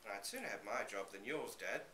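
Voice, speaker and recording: Man doing a jittery young barman in a story, plain talker, bit grumbly, bright voice, roomy echo. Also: fairly low pitch, expressive, normal speed. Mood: curious. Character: nervous young city barman, grumbling to his father. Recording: roomy live reading, slight background noise.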